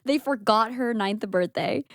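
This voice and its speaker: A woman's voice: on the high side, unhurried, dry recording. Chatty young woman, dry close sound. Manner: animated, laughs often, acts out quoted voices. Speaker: podcast co-host telling the story. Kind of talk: casual storytelling chat.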